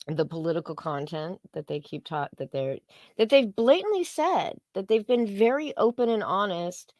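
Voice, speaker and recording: A woman's voice: on the low side, dry recording, studio quality. A woman co-hosting a livestream, talking casually and opinionatedly in an informal, matter-of-fact way. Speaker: co-host of a livestream.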